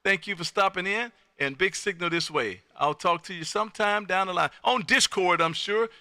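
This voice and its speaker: A man's voice: sits high, moderate pace, pushed and bright, no room sound, studio quality. An American man hosting a live ham radio stream, folksy and exuberant, repeating his phrases as he chats on air. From Texas, United States. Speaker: amateur radio operator and livestream host.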